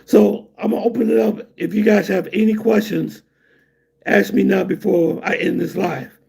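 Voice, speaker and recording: A man's voice: high voice, steady tone, normal speed, gravelly, warm tone, slightly rough. A middle-aged man from the United States hosting a casual livestream and rambling loosely to his viewers. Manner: loose, rambling, laughs at his own jokes. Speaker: livestream host.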